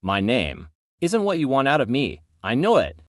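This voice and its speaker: A man playing a proud captive tech founder under questioning, deliberate and self-important, in an amateur audio drama. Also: medium pitch, huge pitch swings, no echo, studio quality. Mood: defiant. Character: proud captive male technology company founder.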